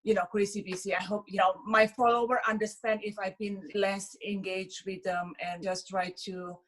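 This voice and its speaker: A woman's voice: medium pitch, flat delivery, normal speed, a bit bright, rough recording. Chatty woman from Indonesia. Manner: chatty, many fillers like "you know" and "like". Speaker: fashion influencer, interview guest. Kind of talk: casual interview answers.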